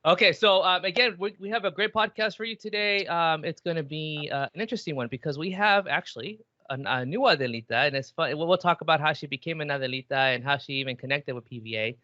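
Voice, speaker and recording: A man in his forties hosts a casual podcast with a bright, forceful, slightly high voice. He teases playfully and drops Spanish phrases into his English. The audio is dry and somewhat muffled. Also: fast talker, hardly any pauses, no echo. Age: 40s. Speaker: podcast host. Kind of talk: casual bilingual podcast chat.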